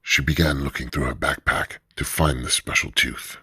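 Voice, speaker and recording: A man narrating a radio drama in a steady, measured storytelling manner. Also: rumbling low, husky, no echo.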